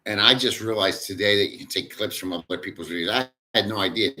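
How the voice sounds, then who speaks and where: A man's voice: lowish voice, fast talker, sharp tone. Crude, foul-mouthed male livestreamer. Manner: crude, profane and rambling. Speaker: livestream host reading chat. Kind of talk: casual livestream chat.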